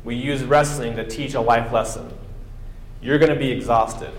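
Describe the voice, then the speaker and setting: A man's voice: mid-range voice, fairly even intonation, normal speed. A man preaching a sermon with emphatic delivery, acting out quoted voices as he goes. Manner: emphatic, acts out quoted voices, rising to near-shouting. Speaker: pastor. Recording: echoey hall with long reverb.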